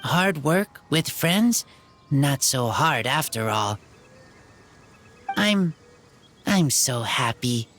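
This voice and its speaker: A slow-spoken, earnest wild boy. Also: rumbling low, animated, fairly bright tone, slight background noise, exaggerated voice. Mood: heartfelt. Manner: halting, simple short phrases. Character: earnest young wolf-raised boy, simple and loyal. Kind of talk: video game voice acting.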